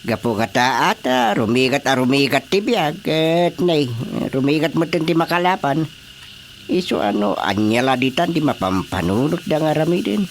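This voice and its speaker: A man's voice: medium pitch, animated, moderate pace, slight background noise, lightly acted. An actor playing a weary, principled older fisherman father in a radio drama, grumbling expressively. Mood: weary, resigned.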